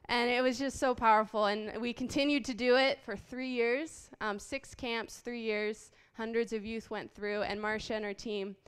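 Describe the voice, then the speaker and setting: Woman in her late 20s from haiti sharing her testimony, super clear smooth voice, earnest, lots of ums. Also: fairly high pitch, steady tone, moderate pace, hardly any pauses. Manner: earnest, heartfelt storytelling with frequent ums. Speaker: guest speaker. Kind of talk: personal testimony talk.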